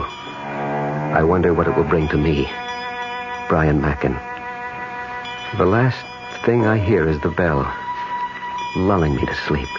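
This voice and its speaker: An actor playing a brooding, determined man on a lonely quest in a radio drama. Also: deep voice. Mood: brooding, reflective. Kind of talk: 1970s radio drama acting with introspective narration. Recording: dull vintage radio recording with music.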